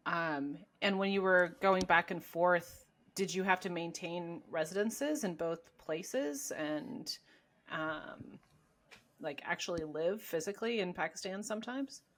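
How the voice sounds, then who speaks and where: Gentle low-voiced woman interviewer. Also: a little slow. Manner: gentle, encouraging, with short affirming replies. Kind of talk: interview questions on a video call.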